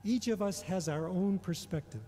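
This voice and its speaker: A middle-aged man delivering a prepared homily in a measured, deliberate way, pausing often between phrases. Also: high voice, warm tone, slight background noise. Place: large indoor church or hall. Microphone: gooseneck microphone on a lectern. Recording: long reverberation of a large hall.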